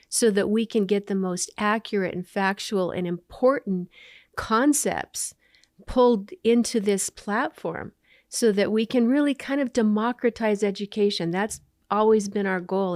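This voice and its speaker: A woman's voice: medium pitch, a little mellow, no echo, studio quality. Upbeat woman explaining her company. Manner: explanatory, upbeat, fond of listing examples. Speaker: company founder and CEO, guest. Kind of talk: interview answers.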